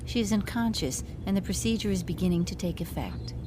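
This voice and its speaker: A woman's voice: mid-range voice, brisk, natural voice. A woman playing a polite alien surgeon in a science fiction audio drama, speaking in a formal, clinical manner. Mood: calm, clinical. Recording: clearly noisy audio drama track.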